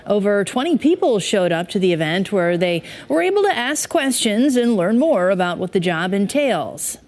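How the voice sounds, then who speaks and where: A woman's voice: medium pitch, very animated, normal speed, darkish tone. An American woman reading the news as a television anchor.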